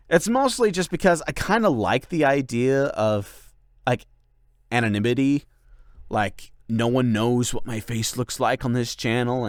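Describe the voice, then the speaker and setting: A man's voice: mid-range voice, dry recording, clean studio sound. A young man hosting a casual Q&A as a voice actor, rambling with lots of likes and ums. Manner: rambling, filler-heavy, many likes and ums. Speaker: channel host and voice actor. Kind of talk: casual Q&A chat.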